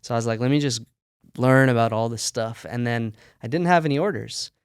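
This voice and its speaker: A man's voice: mid-range voice, smooth, no echo, clean studio sound. A man, the founder of a sock company, telling his story casually in a podcast interview with fillers and easy laughs. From United States. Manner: relaxed storytelling with frequent fillers and quick laughs.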